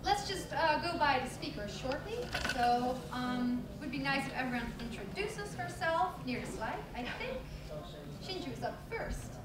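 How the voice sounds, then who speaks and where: A young woman moderates a panel informally, chatting brightly. Her voice sits a little high and reaches us from some distance in an echoey conference room. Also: lively intonation, moderate pace, heavy background noise. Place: conference room with audience, table microphone.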